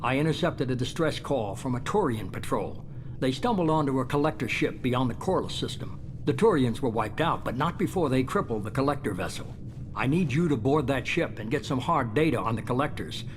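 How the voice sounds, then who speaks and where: A man's voice: medium pitch, lively intonation, brisk, close to an everyday voice. A man playing a businesslike spymaster who gives orders in a video game, controlled and authoritative. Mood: commanding. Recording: clearly noisy ambient background.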